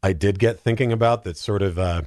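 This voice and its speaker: A man in casual, comedic podcast chat, telling things in an animated, halting way with plenty of filler likes. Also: fairly low pitch, no echo, clean studio sound. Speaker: podcast panellist.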